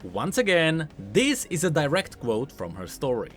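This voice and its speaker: A man's voice: fairly high pitch, huge pitch swings, moderate pace, fairly bright tone, faint music behind. A man giving scripted explainer commentary as a video host, in an explanatory, emphatic manner.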